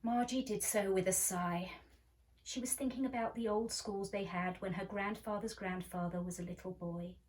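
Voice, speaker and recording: A woman reading a story aloud as a library storyteller, measured and expressive, recorded at home. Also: mid-range voice. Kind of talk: storybook read-aloud narration. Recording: slightly distant microphone.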